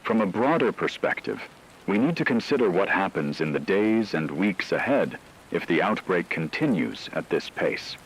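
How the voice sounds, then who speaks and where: Guy acting as a low voiced radio anchor in a zombie apocalypse drama, grave and formal, noisy background. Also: fairly bright tone, heavily acted. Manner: measured, formal, analytical. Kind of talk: scripted radio news commentary.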